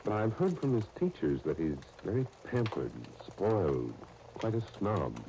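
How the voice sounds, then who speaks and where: An actor playing a mature, thoughtful gentleman in a 1930s radio soap opera, measured and reflective. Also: fairly low pitch, wildly swooping pitch. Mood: concerned. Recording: noisy 1939 radio disc recording.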